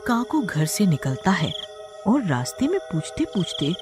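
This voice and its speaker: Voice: mid-range voice, very animated, a little slow. A narrator reading a scripted horror story for a cartoon. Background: background music.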